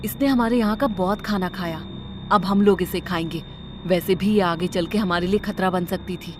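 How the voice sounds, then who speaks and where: A woman's voice: mid-range voice, moderate pace, background noise, natural voice. A woman playing a rich, childless wife in a cartoon horror story, earnest, eager and ruthless. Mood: cold.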